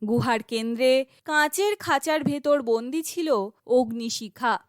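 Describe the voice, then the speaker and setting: A woman narrating a scripted fairytale for an animated children's story. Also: high voice, unhurried, no room sound, clean studio sound.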